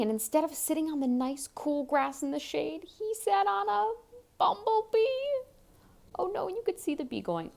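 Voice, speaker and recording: A woman reads a picture book to young children in a highish voice with a slightly creaky edge. Her delivery swings widely and expressively, and she chats to the listener between passages. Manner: expressive, chatty, asks the listener questions. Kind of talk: animated read-aloud storytelling for young children, with asides to the listener.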